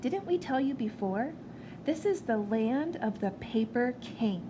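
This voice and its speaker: A gentle storybook father read by a woman, playful as he explains things to a child. The voice is fairly high and there is clear noise on the recording. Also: animated, a little slow.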